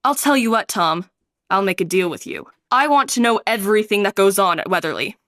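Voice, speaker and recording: A woman's voice: mid-range voice, animated, fairly bright tone, no echo, studio quality, lightly acted. A woman voicing a cold, manipulative male orphanage director in a radio play. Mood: menacing. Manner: slow, threatening, bargaining.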